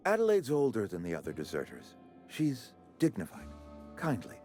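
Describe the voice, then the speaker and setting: A man's voice: lowish voice, wildly swooping pitch, moderate pace, darkish tone, soft background music. Florid, sing-song pompous male administrator. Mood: earnest persuasion. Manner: formal, florid, emphatic phrasing. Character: pompous, genteel male company-town administrator, verbose and anxious. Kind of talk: video game character acting.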